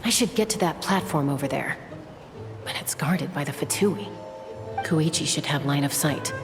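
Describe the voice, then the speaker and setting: An actress playing a calm, focused young woman scout in a video game, speaking in a level, tactical way. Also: low voice, normal speed, music in the background.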